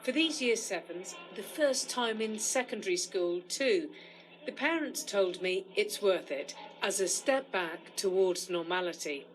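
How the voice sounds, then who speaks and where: A woman's voice: medium pitch, measured pace, rough recording. A woman narrating a scripted education report as a correspondent.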